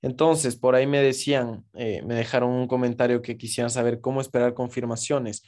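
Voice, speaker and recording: A man talks viewers through trading charts in a relaxed, explanatory lesson. His voice sits in a middle pitch with a mellow, warm tone, and he keeps checking in with his audience as he goes. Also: brisk. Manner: casual, explanatory, frequent "¿ok?" check-ins. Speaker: forex trading instructor. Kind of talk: screen-share trading lesson.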